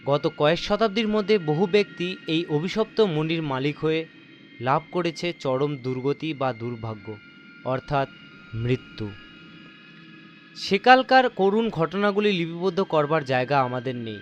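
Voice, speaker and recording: A man reading a horror story as narrator, with deliberate, theatrical, suspenseful delivery. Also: a little high, expressive, a little hiss or hum.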